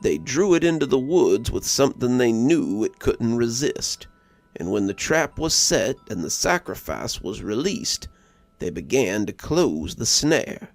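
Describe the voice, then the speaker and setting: A man's voice: medium pitch, sing-song, no echo, faint music behind. A man voicing a solemn, stoic local who recounts his tribe's old legend in a horror story. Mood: grave, foreboding. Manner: grave, stoic, deliberate. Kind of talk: storybook dialogue in horror narration.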